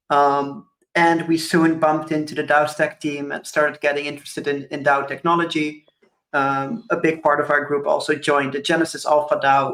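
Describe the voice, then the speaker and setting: A man's voice: fairly high pitch, a bit bright. A man in his twenties from Curaçao giving a conference talk, thoughtful, with frequent ums and hedging fillers. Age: 20s. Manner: thoughtful, many ums and kind-ofs. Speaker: conference speaker. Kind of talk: presentation talk. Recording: roomy echo.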